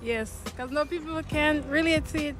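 A young Jamaican woman with a high voice gives blunt, confident opinions to a street interviewer. She's outdoors on a city street, with music clearly playing behind. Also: moderate pace, a bit bright. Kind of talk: casual street vox pop.

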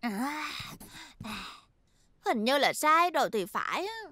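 A woman's voice: high voice, very animated, slow pace, sharp tone, no room sound, studio quality, full character voice. A woman voicing a mischievous, hot-tempered little schoolgirl in a cartoon dub, with dramatic, exclamatory delivery. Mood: grumbling.

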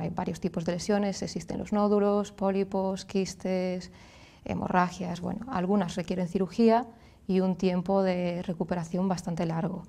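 A woman in her thirties with a Galician Spanish accent, a speech therapist explaining clearly and patiently as a guest in a TV studio. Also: on the low side, warm tone. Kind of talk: explanatory interview answers.

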